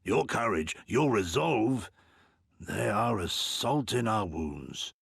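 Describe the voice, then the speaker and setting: An actor playing a weary, sorrowful old village elder, grave and defeated, with a gravelly, husky voice in a video game cutscene. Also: male voice, fairly low pitch, lively intonation, fairly bright tone, no echo, clean studio sound, full character voice. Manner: slow, grave, measured.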